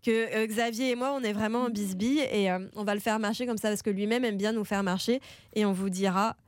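A woman's voice: medium pitch, fairly even intonation, fast talker, dry recording, clean studio sound. A woman hosting a casual behind-the-scenes podcast, teasing and chatty, with a head cold. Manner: chatty, teasing, many fillers and restarts. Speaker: podcast host.

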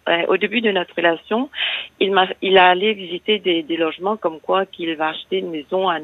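Mauritian woman, 60s, radio caller; gravelly, hesitant and halting, heard over a phone line. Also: lowish voice, steady tone, no room sound. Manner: hesitant, halting, many fillers and restarts. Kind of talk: personal confession on a call-in show.

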